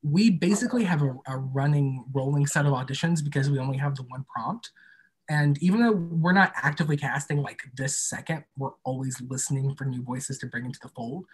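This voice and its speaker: A man's voice: mid-range voice, fairly quick, husky, poor audio. A man speaking as an interview guest in a casual, informal and thoughtful way about his own work. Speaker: podcast creator and guest being interviewed.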